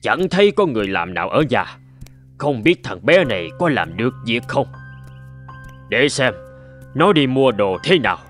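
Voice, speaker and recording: An actor playing a haughty, bossy middle-aged master in a cartoon folk tale, speaking lines imperiously. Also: male voice, medium pitch, very animated, moderate pace, pauses often, fairly bright tone. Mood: doubtful, threatening. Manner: imperious, scolding servants. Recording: music behind the voice.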